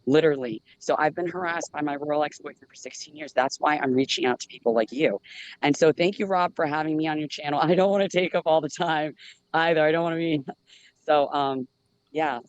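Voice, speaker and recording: A man's voice: fairly high pitch, a little fast, dry recording. Earnest rambling man on dull call audio. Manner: earnest, hesitant, many fillers and restarts. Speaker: guest panelist. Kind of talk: rambling personal account.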